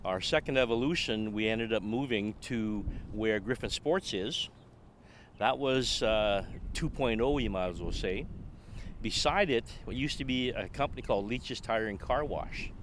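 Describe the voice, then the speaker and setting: Older man, low voice, easy chuckle. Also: a bit bright, a little hiss or hum. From Canada. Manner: chuckling, self-deprecating. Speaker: guest. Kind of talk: casual storytelling.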